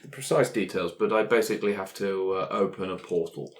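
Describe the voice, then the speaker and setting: Low-voiced man at a game table. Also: steady tone, brisk. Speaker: player in a tabletop roleplaying game. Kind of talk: improvised roleplay and casual table talk.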